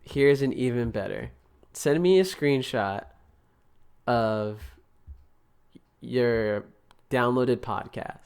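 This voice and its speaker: A man's voice: medium pitch, slow pace, long pauses, darkish tone, clear, resonant tone. A young man co-hosting a podcast, talking in casual, rambling banter with lots of restarts and laughs. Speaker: podcast co-host.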